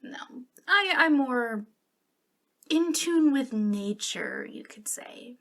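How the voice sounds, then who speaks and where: A friendly young witch who runs a little shop, played by a woman in an ASMR roleplay. She speaks softly and unhurriedly in a fairly high voice that often drops into vocal fry. Her manner is eager but awkward, hesitant and a little giggly. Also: animated, slow pace, exaggerated voice. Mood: teasing, coy.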